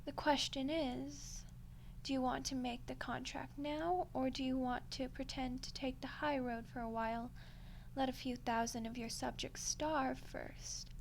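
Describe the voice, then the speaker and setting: A woman playing a smug, condescending female archdemon in a soft-spoken ASMR roleplay, unhurried and menacingly polite. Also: high-pitched, gravelly, a little hiss or hum. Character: ancient female archdemon, smug, coolly seductive deal-maker.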